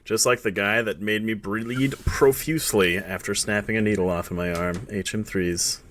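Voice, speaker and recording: Game master, male, cheerful profane banter, bright tone. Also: lowish voice, steady tone, moderate pace, slight background noise. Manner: joking, profane, runs the session. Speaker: dungeon master. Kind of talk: casual chat before a tabletop game.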